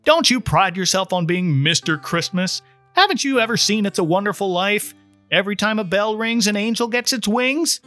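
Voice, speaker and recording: A man's voice: high voice, lively intonation, normal speed, pushed and bright, no echo, soft background music, voice pushed up. A man voicing an earnest, impatient trainee guardian angel who explains things with mild condescension in a storybook reading. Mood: eager, explaining. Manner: explanatory, mildly condescending toward humans.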